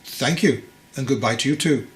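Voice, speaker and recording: An anxious father from a storybook, played by a male narrator, in a medium-pitched voice. He is deferential and placating, choosing his words respectfully. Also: moderate pace, a little hiss or hum, close to an everyday voice. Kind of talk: audiobook character voice.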